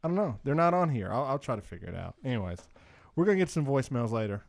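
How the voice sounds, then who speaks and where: A man co-hosting a podcast in loud, casual banter, with a pushy, badgering way of talking. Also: medium pitch, very animated, fast talker, creaky, dry recording, studio quality. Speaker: podcast co-host making prank cold calls.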